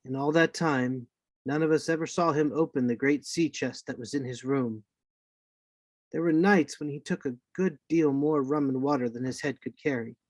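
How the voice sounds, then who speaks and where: A man playing a grave narrator recalling his boyhood adventure, with measured dramatic radio play delivery. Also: medium pitch, a little mellow, no echo, lightly acted. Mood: grave, reminiscent. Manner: measured, literary storytelling.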